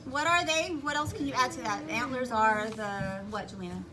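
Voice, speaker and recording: Female primary teacher, higher-pitched voice, directive and questioning, repeats instructions over busy classroom noise. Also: moderate pace. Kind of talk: classroom instruction to young children. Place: school classroom. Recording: noisy classroom recording.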